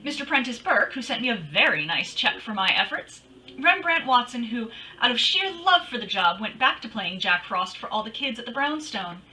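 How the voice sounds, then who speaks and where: A woman's voice: on the high side, sing-song, normal speed, pushed and bright, far from the mic, soft background music, poor audio. An actress playing a wry, sassy female private detective, telling her case with dramatic flair in old-time radio style. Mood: playful.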